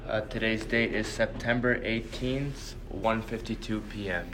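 A young man who grew up in the Bahamas, answering interview questions in a relaxed way and letting his thoughts trail off softly. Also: on the low side, unhurried, slight background noise. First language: Portuguese. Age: young adult. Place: hotel meeting room.

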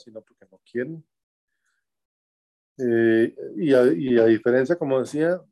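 A man in his sixties with a Costa Rican accent, answering interview questions from home in a measured, halting way, often pausing with fillers to find his words. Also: medium pitch, mellow. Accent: Costa Rican Spanish. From Costa Rica. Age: 60s.

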